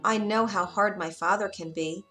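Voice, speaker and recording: A woman voicing a kind, earnest young prince in a storybook, gentle and reassuring. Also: medium pitch, normal speed, soft background music, lightly acted. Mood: concerned, kind.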